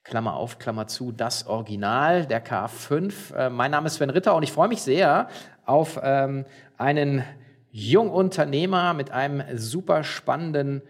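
A man hosting a business podcast, talking in a relaxed way with many fillers and restarts. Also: medium pitch, measured pace. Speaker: podcast host. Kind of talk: casual interview.

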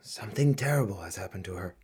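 An actor playing a blunt, protective middle-aged Turkish colonel and exasperated husband in a radio play, with a rough voice. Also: male voice, low-pitched, expressive, fast, a little mellow, slight background noise. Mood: grave, secretive. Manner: blunt, brusque.

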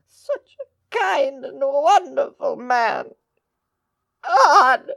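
An actress playing a devoted, distraught housekeeper in an audio drama, tremulous and melodramatic. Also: female voice, high-pitched, sing-song, extremely slow, creaky, a bit bright, full character voice. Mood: wailing grief.

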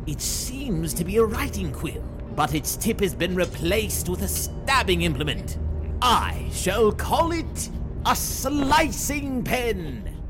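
An actor playing an eccentric, bumbling wizard mentor in an audio drama, flustered and theatrical. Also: male voice, sits high, big pitch swings, slow pace, over music, full character voice. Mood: pained.

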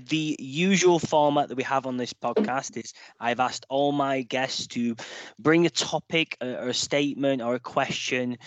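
A man hosting a panel podcast, introducing guests and guiding the talk in a relaxed way. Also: mid-range voice, no room sound, muffled recording. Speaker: podcast host.

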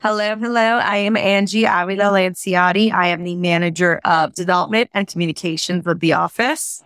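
A woman's voice: fairly low pitch, fairly even intonation, brisk, fairly bright tone, no echo. A woman co-hosting a podcast, earnestly posing questions to the guests. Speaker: communications manager, co-host. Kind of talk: podcast interview.

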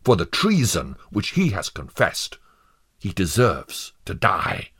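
A man's voice: deep voice, wildly swooping pitch, slow pace, a bit bright, husky, full character voice. A man playing a stern judge in a story, pompously declaiming a condemnation with great emphasis. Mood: stern, indignant. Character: stern male judge condemning a rebel prisoner.

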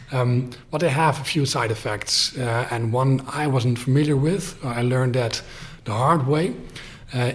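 A man in a podcast conversation, explaining his work briskly and plainly. His voice sits at an everyday middle pitch, and he drops in fillers as he goes. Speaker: guest, company founder. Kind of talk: podcast interview.

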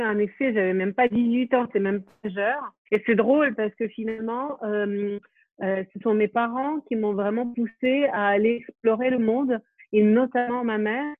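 A woman's voice: on the high side, no echo. Woman on a tinny, dry call line. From Ukraine. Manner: thoughtful, many fillers and restarts. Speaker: film director, interview guest. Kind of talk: casual interview over a video call.

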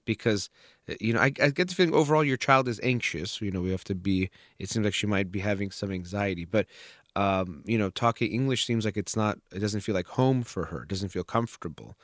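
A low-pitched man in a counseling session talks a parent through their child's shyness. His delivery is calm and measured, with frequent fillers and restarts. The sound is dry and close, with no room echo. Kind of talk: consultation with a parent.

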